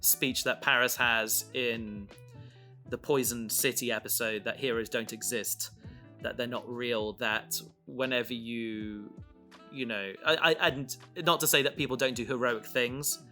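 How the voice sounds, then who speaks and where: A man's voice: medium pitch, fairly even intonation, unhurried, bright, forceful tone, faint music behind. A man chatting casually as a podcast host about his creative work, rambling with enthusiasm and often trailing off mid-thought. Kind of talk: casual chat about making an audio drama.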